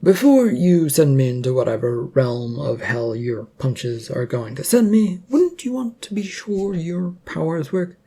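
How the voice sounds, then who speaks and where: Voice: medium pitch, expressive, unhurried, hardly any pauses, mellow, breathy edge. A storyteller voicing a nervous but cheeky male underdog hero, wordy, wry and hesitant. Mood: nervous, wheedling.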